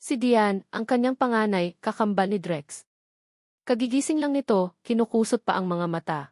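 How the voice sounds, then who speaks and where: A woman with a Filipino accent reading scripted romantic drama narration in a measured, emotive way. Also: mid-range voice, darkish tone, dry recording, studio quality. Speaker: audiobook story narrator.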